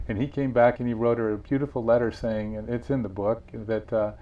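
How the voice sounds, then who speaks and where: A man's voice: mid-range voice, level tone, fairly quick, mellow, lo-fi sound. A man being interviewed about his book, telling stories earnestly with frequent restarts. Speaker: author and guest. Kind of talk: interview conversation.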